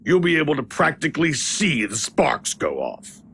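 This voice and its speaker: An actor playing a sly chained prisoner in an audio drama, a gravelly tempter who bargains in a taunting, persuasive way. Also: male voice, fairly high pitch, wildly swooping pitch, moderate pace, fairly bright tone, slight background noise, heavily acted. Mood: taunting, tempting.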